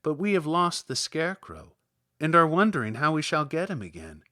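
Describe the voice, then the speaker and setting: A man's voice: on the high side, very animated, moderate pace, pushed and bright, no room sound, studio quality. A man voicing an earnest, eager young farm girl in a storybook, speaking politely.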